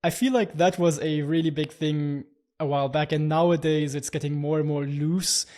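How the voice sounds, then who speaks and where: Higher-voiced male podcast host. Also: fairly even intonation, a little mellow. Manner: halting, repeats words while summing up. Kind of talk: casual podcast chat.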